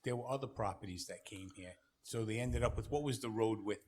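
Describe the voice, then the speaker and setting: A man's voice: fairly low pitch, fairly quick, darkish tone. A planning board member, a man asking probing, practical questions at a town meeting.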